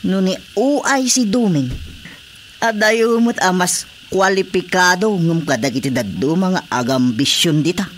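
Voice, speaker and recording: A man's voice: high-pitched, a little slow, a little hiss or hum. A man acting a deferential, nosy village watchman in an Ilocano radio comedy drama, with an Ilocano Filipino accent. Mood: probing, surprised.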